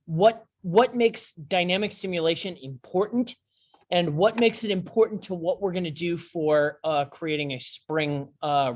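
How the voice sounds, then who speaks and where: A man teaching a class over a screen share, talking in a halting way with many fillers and restarts. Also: a little high. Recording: dull lo-fi sound.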